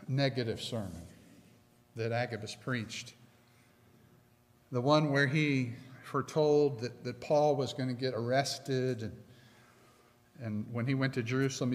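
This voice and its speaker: Slow, emphatic middle-aged male preacher. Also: medium pitch. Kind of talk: sermon. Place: church sanctuary, at a pulpit. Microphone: pulpit microphone. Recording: long church reverb.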